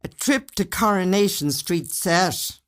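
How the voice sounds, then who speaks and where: A woman's voice: on the low side, takes it slowly, slight background noise, pitched above the performer's own voice. A woman reading the part of a grumpy, sarcastic older husband in a storybook, dismissive and complaining.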